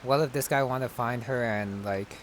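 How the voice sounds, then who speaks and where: A man's voice: mid-range voice, moderate pace, a little hiss or hum, rough recording. A man giving casual, meandering gameplay commentary, musing to himself, with game music behind him. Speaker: gamer commentating on a playthrough.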